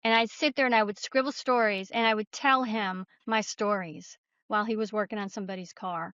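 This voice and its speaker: A woman's voice: medium pitch, steady tone, fairly quick, a bit bright, no room sound. A woman guest telling personal stories casually in an anecdotal way, on slightly dull audio. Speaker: podcast guest, novelist.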